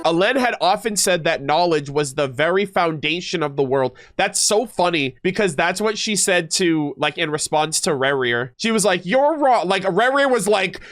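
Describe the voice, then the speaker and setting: High-voiced male gaming streamer. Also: moderate pace, few pauses. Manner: informal, slangy, animated asides. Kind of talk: casual reaction commentary mixed with reading aloud. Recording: music playing behind the voice.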